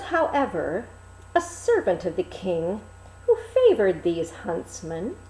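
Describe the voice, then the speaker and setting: A woman reading a fairy tale as an expressive storyteller, in a theatrical, deliberate manner. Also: on the high side. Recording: roomy, echoing room.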